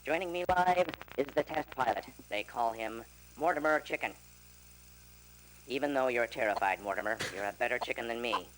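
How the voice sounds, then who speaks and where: An actor voicing an earnest, excitable male chicken who reports like a TV news announcer in a cartoon game. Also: medium pitch, moderate pace, natural voice. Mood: earnest reporting. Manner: dramatic announcer delivery. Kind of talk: mock TV news report and live event commentary. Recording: noisy game cutscene audio.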